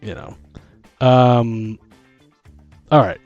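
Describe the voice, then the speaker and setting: Man chatting casually in a higher voice. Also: steady tone, very slow, darkish tone, no room sound, lo-fi sound, faint music behind. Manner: informal, mildly profane, thinking aloud. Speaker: podcast host and dungeon master. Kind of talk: casual chat about running tabletop games.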